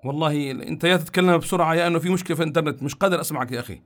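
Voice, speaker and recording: A man hosting a call-in radio show, friendly and hurried, repeating his greetings to callers. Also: fairly high pitch, a bit bright. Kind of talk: casual call-in radio talk.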